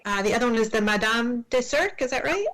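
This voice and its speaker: A woman's voice: a little high, expressive, fast, fairly bright tone. Friendly woman host asking questions. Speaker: podcast host, interviewer. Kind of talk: interview questions.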